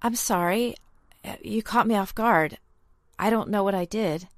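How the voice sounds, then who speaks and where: A woman's voice: medium pitch, measured pace, no echo, clean studio sound, natural voice. A woman voicing a tense, pointed female job interviewer in a story. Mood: shaken. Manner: clipped, pointed.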